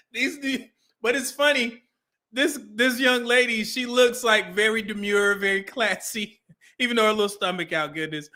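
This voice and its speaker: A man's voice: high-pitched, unhurried, bright, forceful tone. A man doing casual reaction commentary on a stream, laughing often and repeating lines he just heard.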